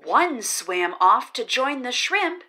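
A woman reading a children's picture book aloud as the channel host, in a slow storytelling manner with drawn-out pauses before each number. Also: fairly high pitch, sing-song, sharp tone. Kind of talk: read-aloud storytelling for kids.

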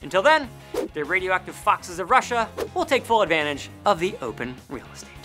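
A young man with an American accent presenting a scripted list narration in an emphatic, animated way. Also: high-pitched, a little fast. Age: young adult. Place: indoor studio. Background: faint background music.

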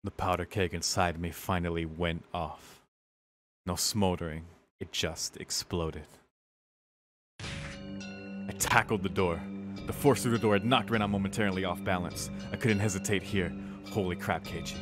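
A man with a low voice streams a visual novel. He reads narration dramatically and drops in casual, sweary asides. Faint game music plays underneath. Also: steady tone, unhurried, long pauses.

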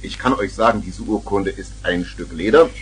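An actor playing an excitable, boastful eccentric uncle who tells rambling tall tales in a 1970s German children's radio play. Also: male voice, low voice, normal speed, slight background noise, close to an everyday voice. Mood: excited storytelling. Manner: theatrical, rambling storyteller.

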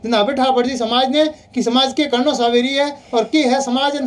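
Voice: high-pitched, steady tone, moderate pace, over a noisy crowd. A speaker with a Rajasthani accent arguing heatedly and insistently on a phone call, often repeating points. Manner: insistent, repetitive.

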